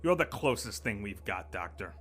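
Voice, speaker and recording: Man as smug bureaucrat, bright lively voice, glib and needling. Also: fairly low pitch, normal speed, slightly rough, heavily acted. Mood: businesslike. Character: smug scheming male city official, middle-aged. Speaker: acting director of utilities. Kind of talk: audio drama acting.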